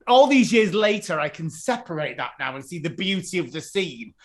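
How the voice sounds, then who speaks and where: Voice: medium pitch, sing-song, moderate pace. A podcast co-host chatting casually and voicing strong opinions. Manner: opinionated, chatty, frequent agreeing. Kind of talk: casual chat.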